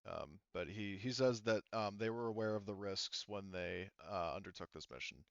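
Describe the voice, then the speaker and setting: Male game master, low smooth voice, halting casual narration, dry lo-fi sound. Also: dry recording. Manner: halting, rambling explanations, casual swearing.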